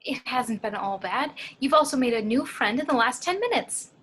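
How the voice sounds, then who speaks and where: A woman playing a gentle, persuasive, kind woman with a husky voice in an amateur table read. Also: on the high side, expressive, moderate pace. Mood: pleading. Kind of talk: amateur at-home table read of a film script.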